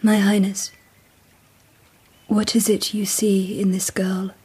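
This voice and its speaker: An actress playing a dignified, perceptive empress in a radio drama, breathy, poised and quietly wary. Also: female voice, medium pitch, flat delivery. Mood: probing, restrained. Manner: poised, formal, deliberate.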